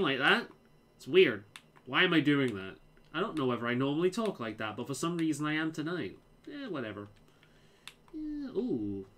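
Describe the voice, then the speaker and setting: A man with a light Liverpool accent chats casually as he plays, his voice bright and fairly high. His pitch swings freely from phrase to phrase. He keeps repeating words and restarting his sentences. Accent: mild Liverpool. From England. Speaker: game streamer. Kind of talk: casual chat while playing.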